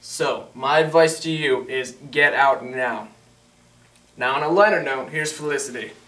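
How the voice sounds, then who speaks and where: Man playing a bright-voiced 1920s newsman, echoey. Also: mid-range voice, measured pace. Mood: grave. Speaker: news anchor. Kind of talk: scripted 1920s news broadcast. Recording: echoey room, distant mic.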